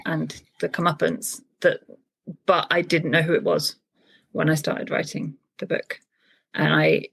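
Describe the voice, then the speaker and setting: A woman novelist talks through her writing process in an easygoing interview. Her voice sits low and carries a steady gravelly texture. She thinks aloud and often restarts phrases midway. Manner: thoughtful, with frequent restarts. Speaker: novelist guest. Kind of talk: casual interview chat.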